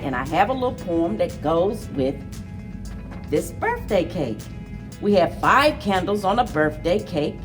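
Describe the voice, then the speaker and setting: A woman hosting a children's story time, speaking in a deliberate, expressive read-aloud manner for young listeners. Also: fairly low pitch, distant mic. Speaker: library story time host.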